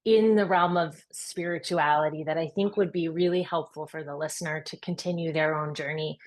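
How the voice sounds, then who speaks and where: A woman's voice: sits low, fairly even intonation, fairly quick. A woman hosting a podcast, opening the interview with long, effusive, admiring praise for her guest. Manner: effusive and admiring, building long gushing sentences. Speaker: podcast host. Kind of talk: scripted-sounding interview introduction.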